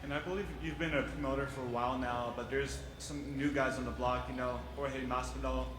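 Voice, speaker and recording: A man's voice: mid-range voice, steady tone, brisk. Joking male reporter, echoey noisy room. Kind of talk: press questions. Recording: echoey room with loud crowd noise.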